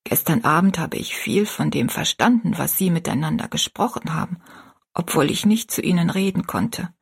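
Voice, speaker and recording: A woman voicing a frail, bedridden mother who speaks gently in a weak, breathy whisper. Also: lowish voice, lively intonation, normal speed, heavily acted.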